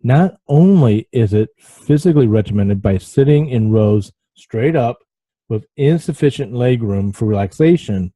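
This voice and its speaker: A man hosting an informal writing lesson, halting and apologetic as he talks and reads aloud, often stumbling over his words. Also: medium pitch, mellow, no echo. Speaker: host of a writing podcast. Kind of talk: informal lesson with passages read aloud from a book.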